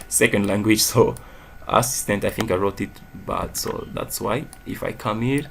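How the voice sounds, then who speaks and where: Angolan man, gravelly low voice; screen-share coding tutor who checks in with "okay?" constantly. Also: unhurried, slight background noise. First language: Portuguese. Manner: says "okay?" after nearly every phrase, thinks aloud while typing. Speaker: programming tutor.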